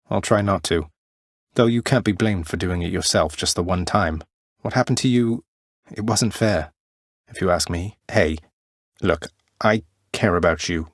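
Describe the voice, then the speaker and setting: A man's voice: sits low, no room sound. A man voicing a young underworld prince in a video game, polite and casual, with a dry, understated wit. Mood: dry, frank, then gently troubled.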